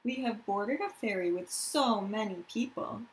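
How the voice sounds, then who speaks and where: A woman teacher reading a picture book aloud to children in an expressive, deliberate storytelling manner, with explanatory asides. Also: mid-range voice, poor audio. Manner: dramatic, deliberate storytelling delivery, addressing children directly.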